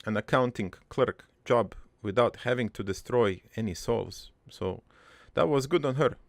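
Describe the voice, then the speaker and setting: A man playing a gruff, crude army veteran turned prankster, telling his regretful life story in a halting, matter-of-fact ramble for an improvised cartoon monologue. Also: medium pitch, dry recording. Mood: matter-of-fact, reminiscing.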